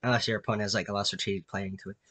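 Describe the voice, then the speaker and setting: A man's voice: on the low side, little pitch movement, rapid-fire, bright, forceful tone, no echo. A man with a nasal voice, sick with a cold, casually rambling through explanations full of fillers and restarts. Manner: filler-heavy, many restarts and "like"s. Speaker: YouTube creator presenting his own card designs.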